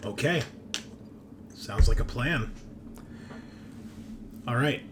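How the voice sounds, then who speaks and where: A man narrating a tabletop roleplaying game as game master, in a conversational, halting way with emphatic swells. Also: medium pitch, lively intonation. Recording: clear background noise.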